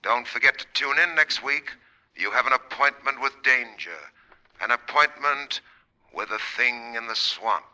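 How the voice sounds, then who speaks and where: An actor playing a radio teller of strange tales in a 1940s drama, declaiming in ominous announcer style. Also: male voice, medium pitch, measured pace, sharp tone. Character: dramatic male radio storyteller of eerie tales.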